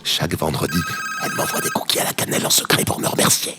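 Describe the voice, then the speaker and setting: An actor playing a smug, theatrical, smooth-talking ex-president turned helpline operator in an audio drama. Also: male voice, very deep voice, huge pitch swings, quick pace, heavy background noise, full character voice. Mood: smug, gleeful. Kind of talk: scripted audio drama acting.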